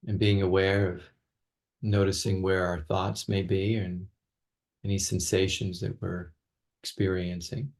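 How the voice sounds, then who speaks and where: A man guides a meditation in a low voice with a lot of vocal fry. His delivery is very slow and halting, with long gaps between phrases and words repeated as he goes. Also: level tone. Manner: halting, with long pauses and soft repetitions. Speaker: meditation teacher.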